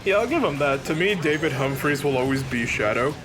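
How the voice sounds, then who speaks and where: A man's voice: a little high, expressive, a little fast. A man giving casual commentary on a video game, talking as one of a group of co-commentators. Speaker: let's play co-commentator. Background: video game music.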